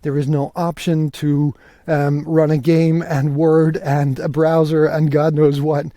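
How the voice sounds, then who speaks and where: A man's voice: a little high, flat delivery, unhurried, mellow, dry recording, studio quality. A man from Belgium hosting a podcast in a casual, conversational and enthusiastic way, talking solo while out walking. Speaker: podcast host. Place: outdoors, walking through countryside fields. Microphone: portable podcasting rig.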